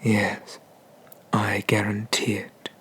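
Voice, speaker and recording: A man playing an ancient, haughty but merciful dragon god in an ASMR roleplay, speaking formally and deliberately, with breathy whispers. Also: lowish voice, monotone, a bit bright, full character voice. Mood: imperious, inviting.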